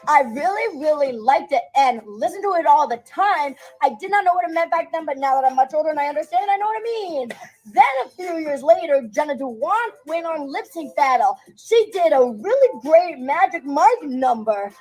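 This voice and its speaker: A woman's voice: sits high, lively intonation, moderate pace, darkish tone, poor audio. A young woman telling a personal story in a chatty, confessional way.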